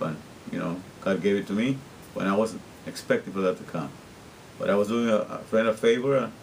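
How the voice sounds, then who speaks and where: A man's voice: lowish voice, slight background noise, poor audio. A middle-aged man from Guatemala, talking earnestly and repetitively in a rambling personal monologue, often restarting his sentences. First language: Spanish. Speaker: vlogger.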